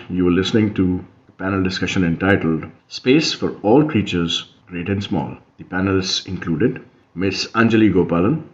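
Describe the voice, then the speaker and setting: A man's voice: sits low, highs cut off. A man with an Indian accent reading a formal scripted radio announcement.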